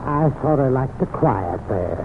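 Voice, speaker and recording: A man's voice: medium pitch, animated, a little slow. An actor playing a simple, good-hearted backwoods man with a humble, rambling drawl and rural American accent in an old radio western. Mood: wistful. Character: simple, good-hearted backwoods country kinsman from a ghost town. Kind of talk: 1940s-50s radio western acting. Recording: tinny, noisy old-time radio recording.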